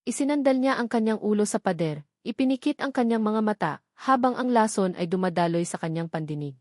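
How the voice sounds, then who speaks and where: A woman's voice: mid-range voice, lively intonation, brisk, a little mellow, no echo, clean studio sound. A woman with a Filipino accent reading a scripted story as a dramatic audiobook narrator.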